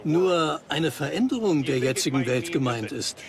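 A man's voice: a little high, lively intonation, moderate pace, a loud crowd. A man in a dubbed documentary conversation, one of the presenting team. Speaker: documentary team member asking questions.

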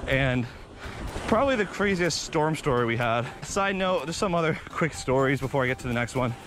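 A young man vlogging outdoors on a beach, telling animated stories while running, slightly out of breath, into a body-mounted camera. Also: mid-range voice, brisk, slight background noise. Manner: animated, excitable storytelling with sound effects. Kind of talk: casual storytelling while jogging. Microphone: body-mounted action camera.

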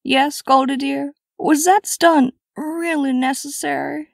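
A high, creaky mama bear. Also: female voice, fairly even intonation, takes it slowly, no echo, clean studio sound, exaggerated voice. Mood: fretful. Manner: fussy, complaining. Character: fussy, motherly female talking bear. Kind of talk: comic dub character acting.

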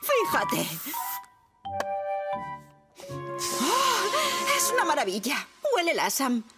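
Fussy, exasperated cartoon mum and shopkeeper, European Spanish accent, very high and swooping, music behind. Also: female voice, wildly swooping pitch, drawn-out words, heavily acted. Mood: enthusiastic, flirty.

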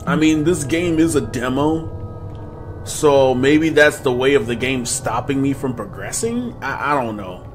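Animated young man doing game commentary. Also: mid-range voice, measured pace, soft background music. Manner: animated, excitable, slangy, talks to viewers. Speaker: gaming video host. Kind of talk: casual horror game playthrough commentary. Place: small room at home, indoors.

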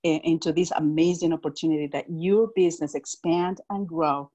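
A middle-aged woman from Mexico with a Mexican Spanish accent, speaking on a video call through an earphone mic, enthusiastic and quick to laugh. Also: low voice, steady tone, warm tone. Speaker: direct sales executive.

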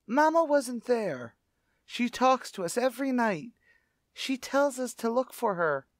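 Man putting on a high, plaintive young boy. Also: very animated, unhurried, no echo, studio quality, exaggerated voice. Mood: plaintive, insistent. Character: anxious, insistent young boy missing his mother. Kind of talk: old-time radio play acting.

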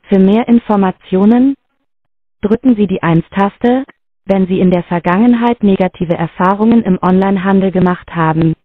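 An automated phone voice reading menu prompts in an even, formal way. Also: medium pitch, normal speed, dry recording. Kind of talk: recorded phone announcement. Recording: dry tinny phone line.

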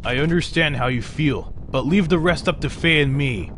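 A man's voice: on the high side, normal speed, fairly bright tone, slight background noise, lightly acted. A man playing an earnest, protective village doctor in a game fan dub, speaking formally and with urgency. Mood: concerned, firm. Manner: formal, precise wording, urgent.

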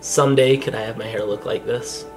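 A playful man in his thirties joking his way through a game with his wife at home. His voice has an even, middle pitch, and he talks into a headset in a small indoor gaming room with music under the chat. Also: fairly quick. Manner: playful, joking, self-mocking. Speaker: vlog host. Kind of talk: casual couple gaming chat.

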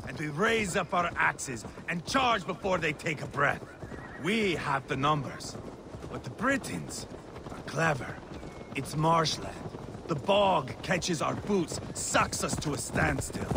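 Game casting: vengeful battle-scarred Viking raider, raised pitch, unhurried menace, mocking, gravelly. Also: male voice, animated, background noise, full character voice. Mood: grim, brooding. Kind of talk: video game voice acting. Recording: outdoor game ambience over voice.

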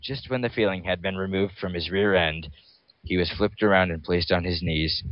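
A man with a low voice reads a story as a dramatic narrator. The recording is dry and close, with a dull, lo-fi sound. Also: fairly even intonation, normal speed, dry recording. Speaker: reader. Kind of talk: dramatic reading of a fan story.

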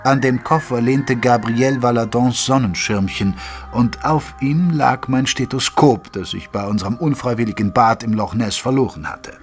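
An earnest gentleman companion recounts an adventure in the first person, as acted by a man in an audio drama. His voice is of middle pitch, with measured, literary phrasing. Music plays underneath. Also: lightly acted. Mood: puzzled, measured.

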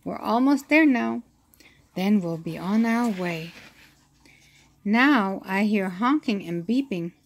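Slow-paced woman reading a picture book aloud. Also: medium pitch. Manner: slow, expressive reading with acted-out sound effects.

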